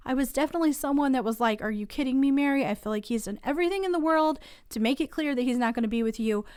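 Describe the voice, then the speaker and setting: A woman's voice: fairly high pitch, clean tone, no echo, clean studio sound. A woman hosting a casual TV recap channel who is getting over a cold, talking in a chatty, opinionated way and asking viewers to weigh in.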